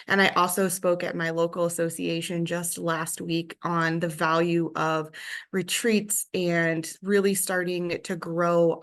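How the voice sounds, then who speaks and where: Low, flat-voiced woman closing a speech. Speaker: board candidate. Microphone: video call.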